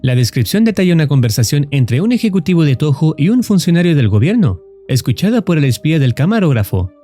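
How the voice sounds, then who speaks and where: A man's voice: on the high side, mellow. A man reading scripted narration as the narrator of a horror story recap video. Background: background music.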